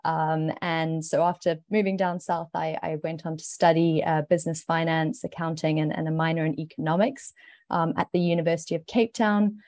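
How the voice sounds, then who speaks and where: South african woman, low mellow voice, flat, lots of restarts, dry sound. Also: moderate pace, no room sound. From Zimbabwe, raised in South Africa. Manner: hesitant, repeats words. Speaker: investment manager, panelist. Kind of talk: casual panel talk.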